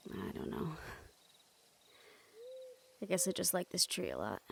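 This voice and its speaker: A woman acting in an intimate audio roleplay as a remorseful, weary young woman with dangerous powers, speaking softly and hesitantly to the listener. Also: fairly low pitch, gravelly, a little hiss or hum. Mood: wistful, gentle.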